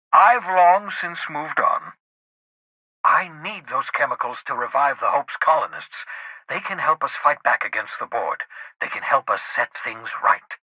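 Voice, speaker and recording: An actor playing an eccentric, excitable fugitive scientist in a video game, an idealistic schemer who speaks in a theatrical, articulate and emphatic way. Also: male voice, mid-range voice, slightly rough, no echo, exaggerated voice, rough recording. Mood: wry, brisk. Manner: theatrical, articulate, emphatic, swinging between fervour and muttered asides. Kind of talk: video game character dialogue.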